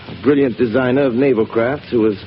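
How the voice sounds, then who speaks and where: An actor playing a calm gentleman detective. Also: mid-range voice, vocal fry, close to an everyday voice. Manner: measured, confident, explanatory. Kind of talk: 1930s radio drama acting. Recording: hissy lo-fi 1930s radio broadcast.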